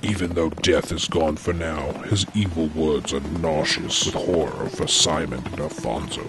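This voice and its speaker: A man narrating a scripted comedic adventure story in a deadpan manner. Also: extremely low pitch, normal speed, noisy background.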